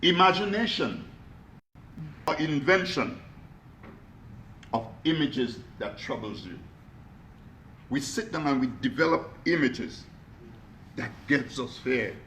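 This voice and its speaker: A man giving a motivational talk to a live audience, energetic, asking them questions and calling out to them. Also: mid-range voice, takes it slowly, pauses often, roomy sound, slight background noise. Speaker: motivational speaker. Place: echoey room with an audience.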